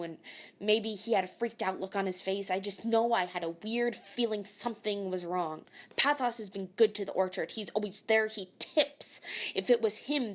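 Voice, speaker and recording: A woman playing a brash, hot-tempered chef in an audio drama, gruff and sarcastic, cursing mildly in food words. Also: mid-range voice, natural voice. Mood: frustrated, uncertain. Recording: music behind the voice.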